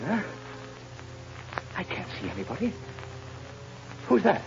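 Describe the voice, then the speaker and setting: A terrified death-row prisoner whose voice cracks very high and gravelly. His pitch lurches wildly, and a noisy, muffled 1930s radio recording sits behind him. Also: wildly swooping pitch, a little slow, full character voice. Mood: panicked. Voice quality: panicky, high and strained.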